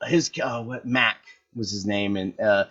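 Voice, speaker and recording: A man's voice: fairly low pitch, steady tone, a little slow, fairly bright tone, highs cut off. A man co-hosting a casual movie podcast, talking eagerly and rambling while he gropes for actors' names. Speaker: podcast co-host. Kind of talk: casual chat about movies.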